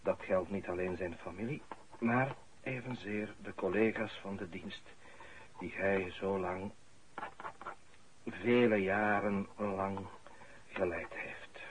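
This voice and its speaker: Solemn male canon, low slow voice, fatherly, preacherly phrasing, radio drama. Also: steady tone. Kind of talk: Dutch radio drama acting. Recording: noisy old radio drama recording.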